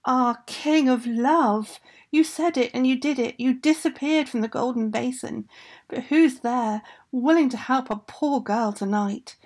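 A woman voicing a desperate young woman who pleads slowly in a raised voice. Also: sits high, lively intonation, darkish tone, pitched above the performer's own voice. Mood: pleading.

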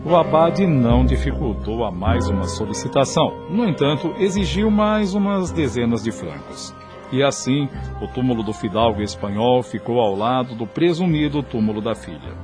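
A man's voice: medium pitch, lively intonation, normal speed, music in the background. A man giving scripted narration for a radio soap opera in a solemn, literary manner.

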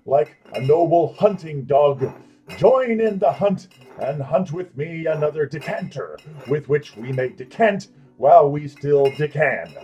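Man playing a high-pitched theatrical fantasy adventurer. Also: expressive, measured pace, lo-fi sound. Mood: jovial. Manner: flowery, theatrical. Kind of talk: fantasy audio drama acting. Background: background music.

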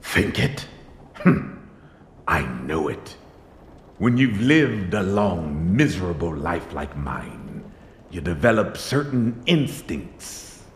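A man's voice: sits low, big pitch swings, slow, roomy sound, big-room sound, slight background noise, exaggerated voice. A man voicing a gloomy old male sailor who drawls grim warnings about being stranded. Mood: glum. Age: older. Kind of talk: animated musical comedy.

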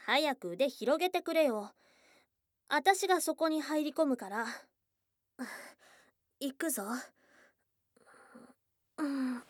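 A high, girlish goblin sweetheart, played by a woman for an ASMR voice drama. She speaks slowly and very close in a dry, intimate space. Her words are blunt and tomboyish, yet she sounds bashful, flustered and affectionate, often softening into breathy murmurs. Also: expressive, no echo. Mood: bashful, tender. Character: shy, tsundere young goblin girlfriend, bashful and affectionate. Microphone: close ASMR microphone.

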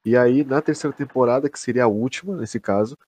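A man's voice: mid-range voice, fast talker, darkish tone, husky, no room sound. A young man with a Brazilian accent chatting casually as a podcast co-host, slangy and free with swearing. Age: young adult. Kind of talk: casual chat.

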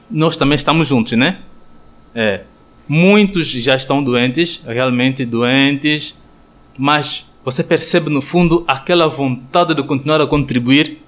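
Hesitant Angolan friar speaking slowly. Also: male voice, fairly high pitch, a bit bright. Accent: Angolan Portuguese. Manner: thoughtful, hesitant, many pauses and fillers. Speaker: friar, guest. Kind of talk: radio interview answer.

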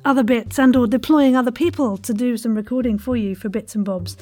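A woman's voice: on the high side, fairly quick, a little mellow. A British woman hosting a podcast interview in a casual, chatty way, effusive and playfully exuberant, with slangy turns of phrase.

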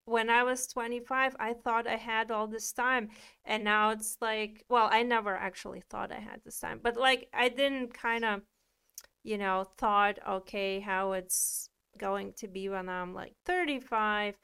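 A woman's voice: fairly high pitch, fairly even intonation, unhurried, a bit bright, smooth. A woman in her thirties co-hosting a wellness podcast, chatting casually. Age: 30s. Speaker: podcast co-host. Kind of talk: casual chat.

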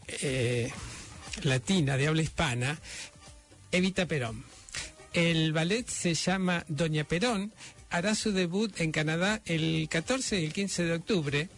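A man's voice: a little high, a bit bright. A man hosting a radio program, announcing the schedule and introducing a guest, with frequent hesitant fillers. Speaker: radio host.

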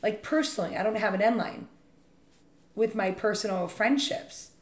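A woman with a slightly raspy voice talks in a relaxed podcast conversation. She is chatty and enthusiastic, and she speaks as a leader in real estate. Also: mid-range voice, moderate pace.

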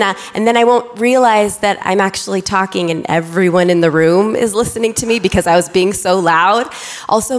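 A young adult woman preaching a lively, excitable sermon to her congregation from a church stage. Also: mid-range voice. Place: large church auditorium. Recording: reverberant church hall.

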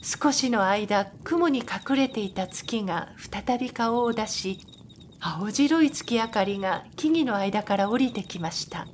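An actress as a storyteller in a radio drama. She reads literary, descriptive narration in a somewhat high voice over faint background noise. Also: animated, measured pace. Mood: calm, descriptive. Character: female storyteller narrating a night scene. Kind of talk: scripted narration.

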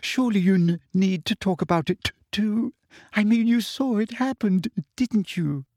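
Man doing a teen girl, tearful, stammering, high voice, dry close sound. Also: very animated, moderate pace, darkish tone, no echo. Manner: stammering, voice breaking. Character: tearful teenage girl, hurt and jealous.

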